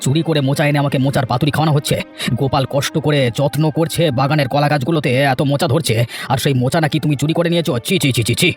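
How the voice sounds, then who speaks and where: Man acting elderly cartoon village gentleman, dignified, righteous, much lowered voice, emphatic repeats, brisk, faint music. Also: steady tone, lightly acted. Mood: indignant. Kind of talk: Bengali fairy tale cartoon acting.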